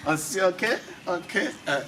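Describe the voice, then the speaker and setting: A man's voice: high-pitched, moderate pace. A man interviewing casually, often repeating his phrases. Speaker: interviewer, channel host. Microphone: camera mic at a distance, no wireless mic. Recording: distant mic, noisy ambient background.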